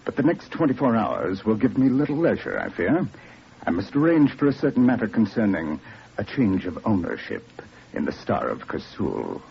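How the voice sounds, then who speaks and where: An actor playing a smug, cold criminal mastermind, measured and condescending, in a 1940s radio drama. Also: male voice, fairly low pitch, big pitch swings, vocal fry. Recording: dull, noisy old radio recording.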